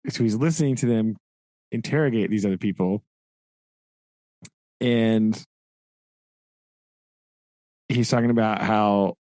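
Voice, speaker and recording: A man's voice: lowish voice, dark tone, dry recording, poor audio. Man casually retelling a story, dry sound. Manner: retelling a story with frequent "right?" and laughs. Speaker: podcast co-host. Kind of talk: casual book discussion.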